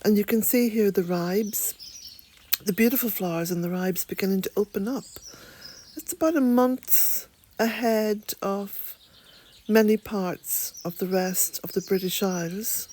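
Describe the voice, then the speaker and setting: Slow, soft-spoken Irish woman. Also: medium pitch, slight background noise. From Ireland. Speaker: vlogger. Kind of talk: gentle narrated garden vlog. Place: outdoors in a woodland garden, birds nearby.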